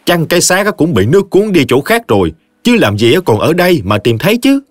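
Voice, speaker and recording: Guy playing a know-it-all village gossip, high and fast. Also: sing-song, no echo, studio quality. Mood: blunt. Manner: chatty, blunt, raised voice.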